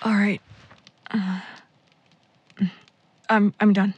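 A tender, awkward young character in an audio drama. The voice is husky and soft, very slow and halting, recorded dry and close. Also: female voice, mid-range voice, little pitch movement, no echo. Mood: gentle. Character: tender, awkward young person called Daniel. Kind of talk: intimate audio drama dialogue.

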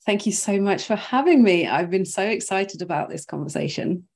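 A woman from Norway, a brand strategist, guesting on an interview over a video call, chatty and quick to laugh. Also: medium pitch, expressive, gravelly, darkish tone, slightly rough. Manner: chatty, laughs often, thinks aloud with fillers. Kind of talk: casual interview chat.

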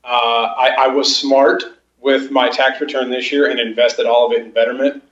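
A young man joins the chat as a guest over a video call, his voice mid-pitched and a little muffled. He stumbles on his first words, then rambles on in a self-mocking way. The call sounds roomy and echoey. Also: flat delivery, normal speed, fairly bright tone. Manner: stammering, self-mocking and chatty. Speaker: podcast guest. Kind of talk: casual chat. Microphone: video call over a game console.

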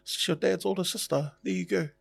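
A man's voice: high-pitched, brisk, gravelly, breathy edge. A man, a tattoo artist whose first language is Tongan, talking in an easygoing, reflective way with jokey asides on a casual podcast.

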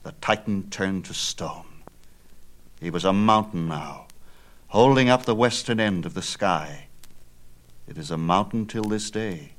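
Male narrator, lowish register, dramatic and declamatory, reading myth in measured phrases. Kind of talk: dramatic scripted storytelling of myth.

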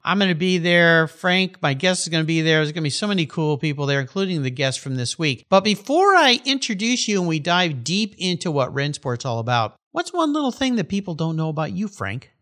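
A man's voice: a little high, lively intonation, a little fast, hardly any pauses, bright, forceful tone. A man hosting a car podcast, upbeat and enthusiastic, introducing guests and reading ads. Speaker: podcast host. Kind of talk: podcast interview and ad reads.

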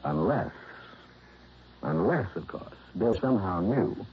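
An actor playing a shrewd, wry insurance investigator in a 1960s radio drama, pressing with pointed, insinuating questions. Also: male voice, low-pitched, sing-song, takes it slowly, slight background noise, exaggerated voice. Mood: probing. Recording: dull lo-fi vintage radio broadcast.

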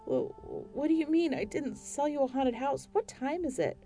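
A woman's voice: sits high, expressive, moderate pace, mellow, no room sound, soft background music, voice pushed up. A woman voicing a flustered, defensive real estate agent woken in the night in a spoken story.

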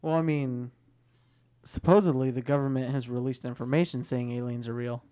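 Man, podcast co-host, casual chat, laughs often, smooth resonant voice. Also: mid-range voice, fairly even intonation, moderate pace, mellow. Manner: easygoing, laughs often, hesitant.

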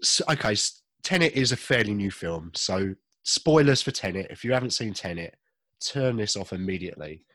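Chatty man with a husky voice. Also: fairly low pitch, lively intonation, normal speed, fairly bright tone, no room sound. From United Kingdom. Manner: chatty, self-deprecating, laughs often. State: slight cough, a bit chesty. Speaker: podcast co-host. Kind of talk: casual chat.

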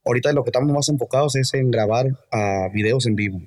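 A man from California, a guest musician, talking in a hesitant, halting and soft-spoken way during a casual interview. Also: mid-range voice, no room sound, studio quality.

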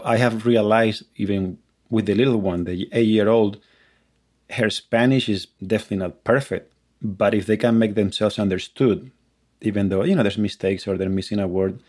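Low-voiced man in measured talk. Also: darkish tone. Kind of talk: casual conversation.

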